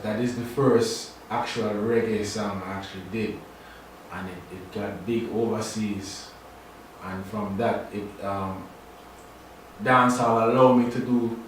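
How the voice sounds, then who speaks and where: Young man, low voice, very echoey room. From Trinidad. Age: young adult. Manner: laid-back, halting, many fillers. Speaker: guest artist. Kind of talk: casual radio interview. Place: radio studio. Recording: very echoey room, distant mic, faint noise.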